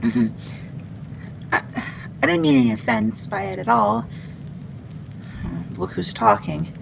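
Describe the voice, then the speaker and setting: Woman playing a gravelly, skittish friendly wendigo. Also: medium pitch, very animated, heavily acted. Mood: awkward, grateful. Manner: hesitant, halting, hushing. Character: friendly, skittish female wendigo, protective forest monster. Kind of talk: ASMR roleplay acting. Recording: clearly noisy background.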